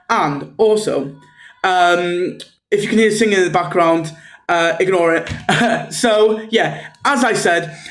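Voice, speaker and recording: A man with a North Welsh accent talks in a high voice, pitching his tutoring in a loose, repetitive way full of ums and okays. He is a native Welsh speaker from North Wales. His voice rings in an echoey room. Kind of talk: casual self-promotional pitch.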